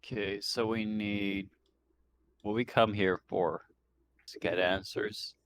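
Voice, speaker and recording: A man's voice: on the low side, steady tone, slow pace, slight background noise. A man playing a tabletop game, chatting casually over an online voice call.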